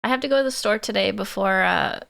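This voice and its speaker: Hoarse, gravelly young woman streamer. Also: mid-range voice, fast, a bit bright. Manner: playful and giggly, teasing herself. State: hoarse, stuffy from a cold. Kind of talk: casual live-stream chat.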